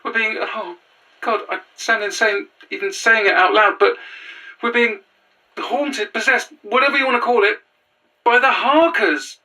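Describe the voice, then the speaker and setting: An anxious young runaway in a horror audio drama. The voice is bright and tense, with halting, stammered phrases and an earnest, haunted manner. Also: female voice, fairly high pitch, far from the mic, poor audio. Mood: distressed, pleading. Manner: hesitant, stammering, breathless phrasing. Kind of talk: naturalistic audio drama acting.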